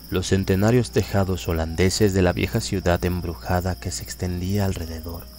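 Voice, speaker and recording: A man with a Latin American Spanish accent reading a literary horror story aloud in a measured, grave manner. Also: deep voice, darkish tone. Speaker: audiobook narrator. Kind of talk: scripted literary narration.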